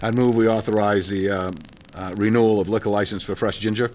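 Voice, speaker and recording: A man serving as a town councilor, making a motion in a hesitant, halting way with many fillers. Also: fairly low pitch, fairly even intonation, a little mellow, a little hiss or hum.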